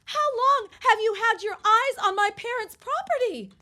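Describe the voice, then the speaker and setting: An actress playing an indignant, wronged young 1910s wife in an audio drama, insistent, formal and confrontational. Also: female voice, extremely high pitch, normal speed, pushed and bright. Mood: indignant, accusing. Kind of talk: period audio drama acting.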